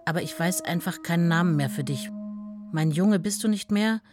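An actress playing an estranged wife who reads her letters aloud in a measured, restrained, earnest way. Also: fairly low pitch, music in the background, natural voice.

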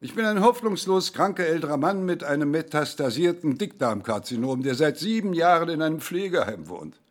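A man's voice: on the high side, very animated, a little fast. An actor playing a hopelessly ill older man in a radio play, reporting matter-of-factly on his own fate. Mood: resigned, factual. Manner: factual, report-like.

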